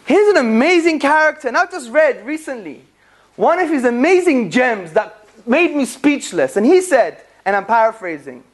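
A public speaker giving a lively talk to a live audience on faith and philosophy. The speaker fires rhetorical questions at the listeners, drops in jokey asides and recites scripture quickly. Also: high-pitched. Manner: jokey asides with bursts of laughter; recites Arabic scripture passages rapidly.

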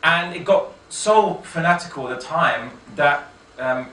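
A man giving an informal autobiographical talk, telling his story in a halting, self-correcting, conversational way. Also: on the high side, pushed and bright. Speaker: speaker giving a personal testimony. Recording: echoey room, distant mic, faint noise.

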